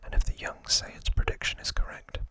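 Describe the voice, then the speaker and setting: An ASMR host whispering gently and deliberately, drawing words out as they present to the listener. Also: no room sound.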